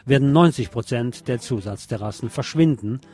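A man's voice: mid-range voice, moderate pace, darkish tone, husky. A man presenting radio news with sardonic, opinionated commentary. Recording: music bed under the voice. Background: music.

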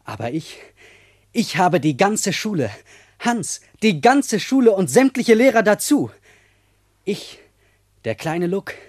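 An actor playing a small, proud schoolboy prankster in a German radio play, eager and boastful. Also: male voice, high-pitched, sing-song, takes it slowly, pauses often, darkish tone, no echo, clean studio sound, full character voice. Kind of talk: German radio drama acting.

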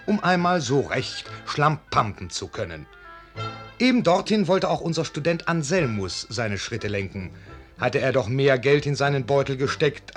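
A man narrating a literary radio play as a storyteller, measured and formal toward the listener. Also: mid-range voice, a bit bright, natural voice. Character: storyteller addressing the gentle listener. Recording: faint music behind.

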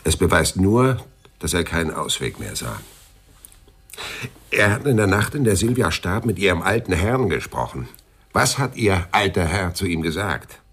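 An actor playing a terse, sardonic, loyal private detective in a German radio crime drama, with a dry, laconic delivery. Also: male voice, deep voice, sing-song, normal speed, fairly bright tone, husky, exaggerated voice. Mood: insistent. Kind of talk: German radio crime drama, dialogue and first-person narration.

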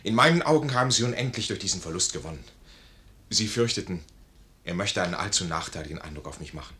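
An actor playing a proud, ardent army major in a 1950s German radio play, with earnest, formal stage diction. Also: male voice, deep voice, lively intonation, fast talker, bright, forceful tone. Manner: earnest, theatrical, formal classical phrasing. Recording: noisy 1950s radio recording.

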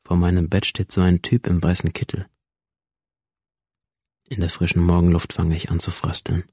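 A man's voice: sits low, completely flat delivery, moderate pace, a little mellow, husky, no room sound, studio quality. A male actor playing a troubled young man who narrates his own slipping reality in an introspective radio drama monologue. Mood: dazed, uneasy.

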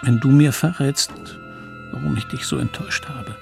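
An actor playing a middle-aged man who looks back on his childhood in a radio play, reflective, confiding and gravelly. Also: medium pitch, steady tone, moderate pace, over music. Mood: grieving, remorseful. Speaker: narrator. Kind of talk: dramatic first-person radio play narration.

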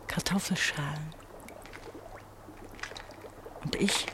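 An actress playing an anguished eighteen-year-old pastor's daughter in a German radio drama, brooding and halting. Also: female voice, fairly low pitch, slight background noise. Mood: wistful, troubled. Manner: brooding, halting monologue with repeated phrases.

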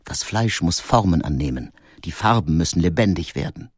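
An actor playing a brooding, sensitive painter who reads from his diary in an earnest, introspective and deliberate way. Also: low-pitched, animated, slightly rough, dry recording, studio quality. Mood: impassioned. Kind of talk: dramatic first-person diary reading.

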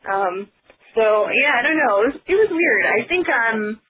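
A woman in her thirties chats as a guest, her voice a little high and quick to break into laughter. She talks in a loose, rambling way full of filler words. The sound is thin and tinny, like a phone line. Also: lively intonation, slightly rough. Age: 30s. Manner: chatty, laughs often, many fillers. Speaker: guest, author. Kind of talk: casual conversation.

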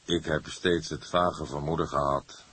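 Man playing a very deep weary detective. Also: steady tone, a bit bright, husky, heavily acted. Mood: weary, somber. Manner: languid, measured. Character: weary, somber older male police detective.

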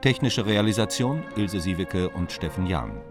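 A man with a very low voice delivers formal scripted credits. His reading is measured and list-like, with clear brass music behind him. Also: animated. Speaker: closing credits announcer. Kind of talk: formal scripted broadcast announcement.

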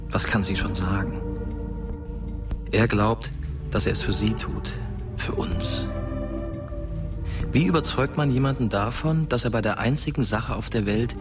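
Brooding teenage ghost boy narrator played by a man, low voice, wistful radio-play monologue over clear music. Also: a little slow, long pauses, close to an everyday voice. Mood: somber, resigned. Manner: reflective, rhetorical questions. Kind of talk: German radio drama narration, intimate monologue. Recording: music under the voice. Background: prominent music.

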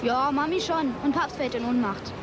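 A woman's voice: sits high, normal speed, over loud music, close to an everyday voice. A woman playing a cheeky, sceptical youngster in a German children's drama. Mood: wry.

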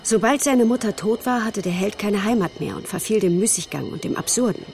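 An actress playing a thoughtful woman who comments analytically in a measured radio drama monologue. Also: mid-range voice, darkish tone, lightly acted. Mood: reflective.

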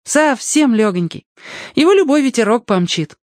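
Excitable high-voiced little boy. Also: moderate pace, no room sound, clean studio sound. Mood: bright, curious. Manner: excited, chatty, childlike. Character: lively, eager young boy, trusting storyteller. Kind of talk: acted audiobook dialogue.

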